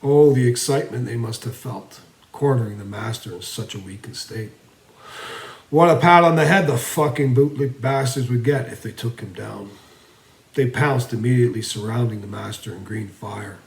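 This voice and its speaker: A man narrating a fantasy story theatrically, swinging between emphatic and hushed delivery. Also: medium pitch, moderate pace. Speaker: storyteller reading own fantasy series. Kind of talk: dramatic fantasy audiobook narration. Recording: roomy, echoing voice recording.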